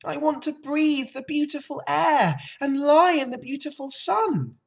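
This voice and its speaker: A woman's voice: high-pitched, huge pitch swings, very slow, darkish tone, pitched above the performer's own voice, exaggerated voice. A woman voicing an anxious, childish young male pig from a storybook, wailing and pleading in an emotional way. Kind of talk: storybook character voice.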